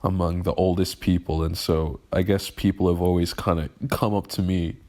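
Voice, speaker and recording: A man of twenty-one talks to camera in a halting, emotional way, often restarting his sentences as he vents about growing up. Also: low-pitched, little pitch movement, darkish tone. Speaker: vlogger. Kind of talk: personal venting monologue.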